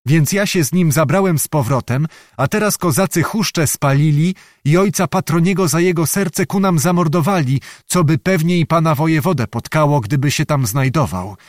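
A man voicing a chatty, devoted young servant lad, talking excitedly in rustic old-fashioned speech. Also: fairly high pitch, normal speed, hardly any pauses, dry recording, studio quality, pitched above the performer's own voice, close to an everyday voice. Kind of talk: audiobook character voice.

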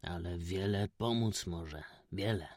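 A man voicing a gentle, sorrowful village wanderer who speaks up for the poor in subdued, plaintive rustic speech. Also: fairly low pitch, fairly even intonation, moderate pace, no room sound, studio quality, natural voice. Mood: sorrowful, pleading.

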